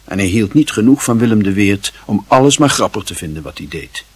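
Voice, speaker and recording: A man's voice: lowish voice, animated, a little fast, breathy edge, dry recording. A man reading scripted literary narration as the narrator of a radio drama.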